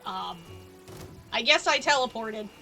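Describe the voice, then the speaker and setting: A woman's voice: fairly high pitch, lively intonation, a little slow. A woman giving casual, playful live-stream gaming commentary with sarcastic asides. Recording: game music behind the voice. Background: game music.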